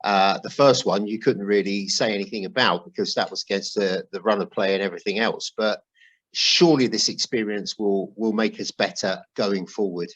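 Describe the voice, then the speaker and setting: Low-pitched man hosting casual football chat. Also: fairly even intonation, moderate pace, a bit bright, breathy edge, low-quality recording. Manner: poses questions to co-hosts. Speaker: podcast host.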